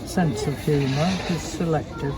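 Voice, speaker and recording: A man's voice: fairly high pitch, measured pace, a loud crowd. An older man giving a palm reading in clipped, matter-of-fact pronouncements, recorded outdoors. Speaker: palm reader. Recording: very noisy street, traffic and bystanders.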